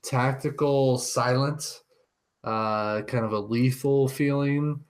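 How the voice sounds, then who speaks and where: A man's voice: medium pitch, takes it slowly. A man co-hosting a podcast in casual chat, picking his words with some hesitation. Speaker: podcast co-host.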